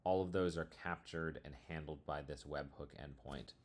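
Guy with a super low voice walking through a software demo, informal and explainy. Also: steady tone, moderate pace. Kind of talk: casual screencast tutorial walkthrough.

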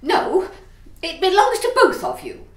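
A woman's voice: very high-pitched, very animated, unhurried, reverberant, heavily acted. A woman playing a flustered, placating Victorian boarding-house landlady in a stagey comic audio play. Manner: placating, coaxing.

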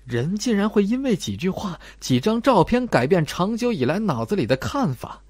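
A man's voice: high-pitched, big pitch swings, moderate pace, a little mellow, no echo, voice pushed up. A man voicing a spiteful young female student in an audiobook, sneering and taunting in an erratic way. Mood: mocking.

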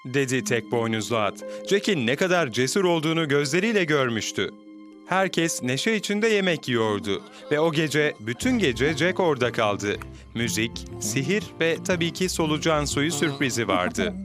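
A man narrating a dubbed cartoon fairy tale in an expressive, gentle storytelling way. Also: medium pitch, normal speed, music in the background.

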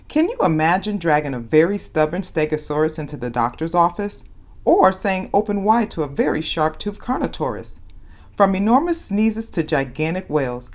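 A woman hosting a children's read-aloud, telling a picture-book story expressively and dramatizing it for young listeners. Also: low-pitched. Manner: animated, dramatizes questions and exclamations for young children.

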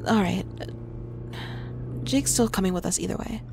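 A woman's voice: medium pitch, moderate pace, creaky, natural voice. A woman playing a loyal female agent who looks out for her best friend in an audio drama. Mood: businesslike. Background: music.

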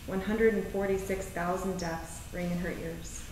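A very reverberant theater recording of a woman poet at a live reading, picked up from a distance with faint noise. Her voice sits at a middle pitch for a woman. She presents her writing in measured, deliberate phrases. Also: steady tone, darkish tone. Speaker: poet reading own work at a live event. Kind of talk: poetry and prose reading with short spoken introductions. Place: theater stage, indoors. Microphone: distant camera microphone.

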